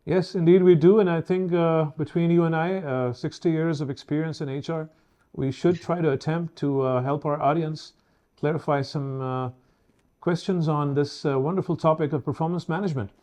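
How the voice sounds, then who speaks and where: A man in his fifties hosting a semi-formal webinar, speaking in halting, formally worded phrases. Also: a little high, steady tone, dark tone. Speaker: webinar host.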